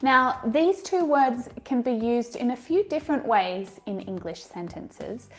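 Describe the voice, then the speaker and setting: A woman with an Australian accent presenting a scripted grammar lesson in clear, measured sentences. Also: on the high side, expressive, soft background music.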